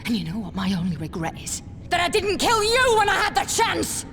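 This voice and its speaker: An actress playing a bitter, defiant captive soldier in a video game, sardonic and given to outbursts. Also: female voice, extremely high pitch, wildly swooping pitch, brisk, fairly bright tone, a little hiss or hum, full character voice. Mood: defiant, furious. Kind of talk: dramatic video game voice acting.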